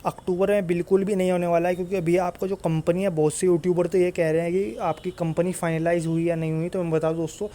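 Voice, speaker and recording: Higher-pitched man, flat delivery. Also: quick pace, hardly any pauses. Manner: repetitive, insistent, often tags phrases with "okay". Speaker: exam-news YouTuber and coach. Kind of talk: informal exam update talk to students.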